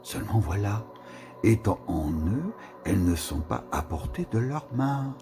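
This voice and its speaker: A man giving a slow, musing reading of a literary text, with pauses and whispered asides. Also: fairly low pitch, very animated. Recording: reading with music behind. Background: music.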